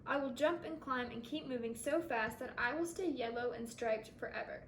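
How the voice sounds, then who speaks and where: A woman's voice: on the high side, normal speed, fairly bright tone, reverberant, distant mic, a little hiss or hum, close to an everyday voice. A woman voicing an impulsive, defiant young male snake in a storybook, with dramatic exclamations.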